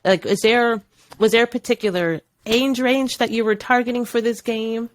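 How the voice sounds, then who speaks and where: A woman's voice: a little high, steady tone, normal speed, slight background noise. A woman interviewing a game developer, asking enthusiastic questions that stumble and restart. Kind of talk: casual interview.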